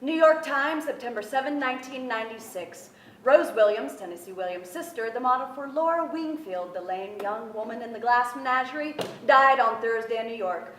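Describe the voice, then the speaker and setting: A woman's voice: a little high, moderate pace, a bit bright. A young adult woman giving a dramatic reading from a script on a theatre stage. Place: theatre stage before an audience. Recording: roomy theatre, faint background noise.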